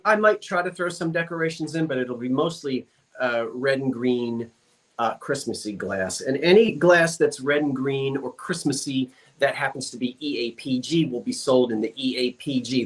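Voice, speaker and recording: A man hosting a live-stream glass sale, chatting casually with viewers, with lots of fillers and self-corrections. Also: medium pitch. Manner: chatty, rambling, reads out viewer comments. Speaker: live-stream auction host.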